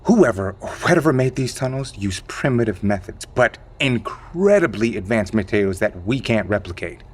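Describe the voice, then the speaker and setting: An actor playing an evasive male insider who calmly explains a secret catastrophe in an audio drama, in a measured, matter-of-fact way. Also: fairly low pitch. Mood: expository, intrigued.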